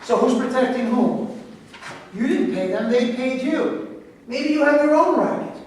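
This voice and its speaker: A woman in a staged play reading, playing a pushy, excitable newspaper reporter with an insistent, questioning manner. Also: high voice, unhurried. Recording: distant, very echoey room with noise.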